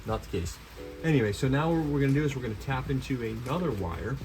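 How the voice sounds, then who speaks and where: A man with a medium-pitched voice talks casually, as if to viewers of his hands-on project video. He thinks aloud in an informal, unscripted way. Music plays clearly behind his voice. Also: animated, quick pace. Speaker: host of a DIY vehicle project vlog. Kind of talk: casual talk while working, explaining to viewers.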